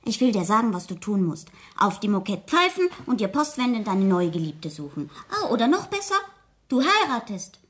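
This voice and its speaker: A woman's voice: medium pitch, sing-song, normal speed, natural voice. An actress playing a cheeky, outspoken fifteen-year-old schoolgirl in a radio drama, with a teasing, blunt manner. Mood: frank, eager. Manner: pert, teasing, girlishly blunt. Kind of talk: 1970s German radio play acting.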